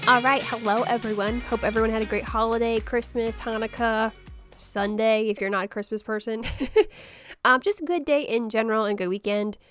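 Chatty woman host, hoarse from the flu. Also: on the high side, steady tone, fairly quick, a bit bright, faint music behind. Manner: chatty, rambling, with frequent ums and light laughs. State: voice still hoarse after the flu. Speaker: podcast host. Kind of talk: casual solo podcast about houseplants.

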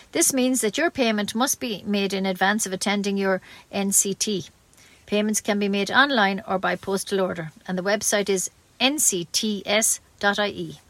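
An Irish woman reads the local news for community radio at an ordinary mid pitch. Her delivery is measured and careful, clearly read from a script, and the sound is close and dry with very little room. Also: fairly bright tone, no echo.

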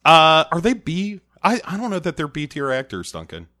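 Bright-voiced man, eager podcast co-host. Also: fairly high pitch, normal speed. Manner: energetic, stammering restarts, emphatic. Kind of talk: casual chat and episode recap.

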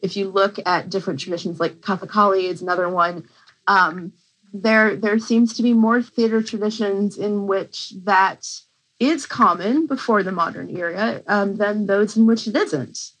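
A woman's voice: mid-range voice, fairly even intonation, moderate pace, fairly bright tone, rough recording. A woman professor giving a conversational academic explanation as an interview guest, with informal asides.

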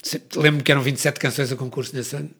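Gravelly fast-talking Portuguese man. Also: medium pitch. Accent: European Portuguese. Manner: animated storytelling, quick with many restarts. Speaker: songwriter guest. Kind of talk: casual chat.

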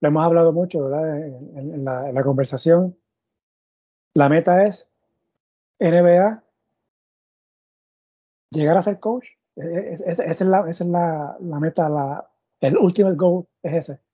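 A man hosts a sports interview, circling his questions with fillers and restarts. His voice is very dark and husky. The recording is dry and a little muffled. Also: fairly high pitch, fairly even intonation, unhurried, long pauses, no room sound. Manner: thinks aloud with many fillers and restarts. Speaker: podcast host. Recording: dull, muffled audio.

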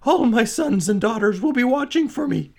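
A timid storybook merchant, played by a male narrator, his voice pushed high with fear. He pleads and falters like a desperate father. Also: expressive, moderate pace, vocal fry, full character voice. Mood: terrified pleading. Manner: stammering, begging, high-strung. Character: frightened, pleading merchant, father of grown children.

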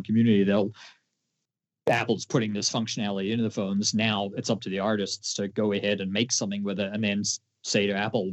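A man's voice: lowish voice, darkish tone. A man as a guest in an interview, thinking aloud with many ums. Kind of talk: conversational interview. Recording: lo-fi, muffled audio.

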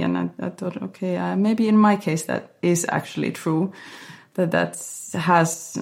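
A woman from Finland, a choreographer, answering interview questions in a thoughtful, halting way with many restarts. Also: lowish voice, gravelly, a little mellow. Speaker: guest choreographer. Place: Berlin.

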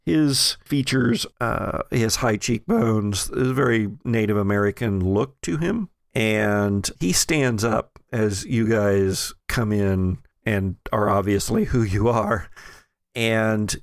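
A man runs a tabletop game as its narrator, speaking slowly and haltingly as he improvises descriptions. His voice has a gravelly fry to it and is captured close and dry. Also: on the low side, dry recording. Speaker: game master and podcast host.

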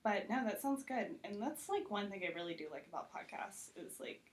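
A woman's voice: medium pitch, expressive, a little fast. A woman guest on a podcast, talking casually and emphatically, with lots of "like" in her speech. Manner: informal, emphatic, frequent "like". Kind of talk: casual chat.

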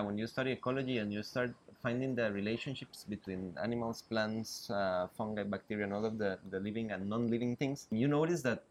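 Low-voiced Costa Rican man, hesitant. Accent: Costa Rican Spanish. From Costa Rica. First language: Spanish. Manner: hesitant, restarts often, laughs easily. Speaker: guest, biologist. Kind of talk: interview conversation. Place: riverbank outdoors. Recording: outdoors with faint ambience.

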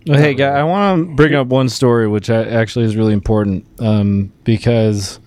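A man on a talk panel, telling anecdotes in a colloquial, halting way. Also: mid-range voice, a little mellow, dry recording. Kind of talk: casual chat.

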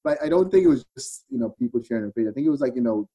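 Mellow Irish man telling stories casually. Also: medium pitch, expressive, very fast, slightly rough, rough recording. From Ireland. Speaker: podcast guest. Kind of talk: casual chat, storytelling.

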